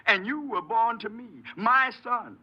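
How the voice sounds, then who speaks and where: High-pitched, preachy old American father. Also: expressive, a little slow, breathy edge, full character voice. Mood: bitter, reproachful. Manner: preachy, reproachful, declamatory. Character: stern, self-righteous older father forever quoting scripture. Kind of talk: 1950s American radio drama acting. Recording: dull lo-fi old radio broadcast.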